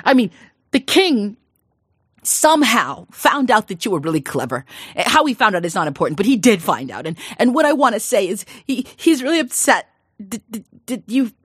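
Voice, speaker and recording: A frantic old farmer , put on by a woman storyteller, stumbles over his words in a strained, high, loud voice. He sounds worried and pleading, and the room around him is dry and close. The storybook figure is lively but never steady. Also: moderate pace, husky, no echo, heavily acted. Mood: flustered. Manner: flustered, stammering, pleading.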